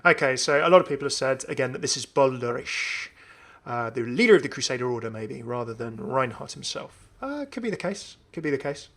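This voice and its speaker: A man hosting a gaming video, giving casual, enthusiastic commentary in a creaky voice as he browses game items. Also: medium pitch, animated, a little fast, fairly bright tone. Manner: chatty, enthusiastic. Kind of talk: casual gameplay showcase commentary.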